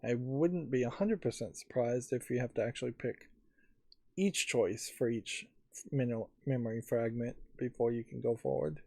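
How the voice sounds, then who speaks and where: A man giving musing game commentary, thinking aloud in a halting way. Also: mid-range voice, vocal fry, darkish tone, no room sound. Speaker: let's play host. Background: faint game music.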